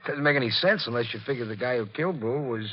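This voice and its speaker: A medium-pitched male police lieutenant from a 1940s radio thriller, as an actor plays him. He is dogged and sly, needling in a casual, folksy way. The old recording is muffled and faintly noisy. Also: racing, natural voice. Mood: offhand, probing. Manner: folksy, offhand, insinuating.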